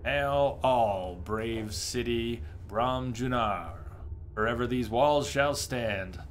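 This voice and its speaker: A man's voice: mid-range voice, slow pace, a little hiss or hum. A man in his thirties giving casual live gameplay commentary, chatting with his viewers in a conversational way. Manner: conversational, reacting to chat. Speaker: game streamer. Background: game sounds.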